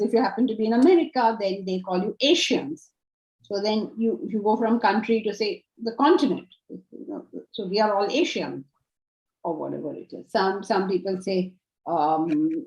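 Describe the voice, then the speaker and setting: A woman in her sixties teaching a Vedanta class over a video call from her home study, explaining patiently. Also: mid-range voice. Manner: patient, explanatory, animated hand gestures. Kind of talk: online class Q&A. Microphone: video call laptop mic. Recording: roomy, dull video call audio.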